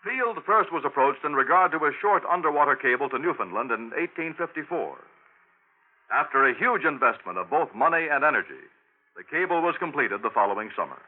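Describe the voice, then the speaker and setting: Dramatic American radio narrator, man, tinny recording. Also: on the high side. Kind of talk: scripted 1950s radio narration. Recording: tinny, band-limited 1950s radio recording.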